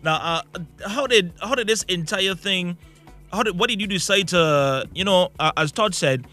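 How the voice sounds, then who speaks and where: A man's voice: high-pitched, moderate pace, sharp tone. A man hosting a radio morning show, interviewing guests in an informal, encouraging way. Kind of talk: casual broadcast interview. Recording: music playing behind the voice.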